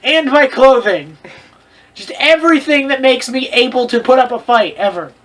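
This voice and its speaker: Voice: high voice, lively intonation, moderate pace, fairly bright tone. A co-host reading fan fiction aloud in a mocking, casual way, exclaiming and breaking off to comment on the text.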